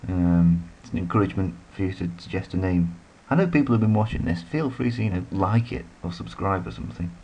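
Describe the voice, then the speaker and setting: A man's voice: low-pitched, brisk, few pauses, darkish tone, a little hiss or hum, low-quality recording. A man giving rambling, casual commentary while playing a strategy game, full of self-deprecating asides. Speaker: let's play commentator.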